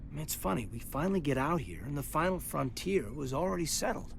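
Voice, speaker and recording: Earnest, reserved military officer. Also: male voice, fairly high pitch, lively intonation, fast, gravelly, slight background noise. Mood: concerned, candid. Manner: hesitant, apologetic, thoughtful. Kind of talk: video game dialogue acting.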